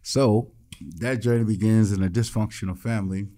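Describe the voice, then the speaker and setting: A man guesting on a studio podcast, telling his life story in an animated, punchy, streetwise way. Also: lowish voice, normal speed. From Midwestern United States. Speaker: guest musician and producer. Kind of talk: casual storytelling interview.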